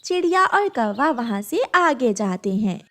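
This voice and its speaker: A woman's voice: a little high, sing-song, unhurried, a bit bright. A woman reading scripted narration for a children's story.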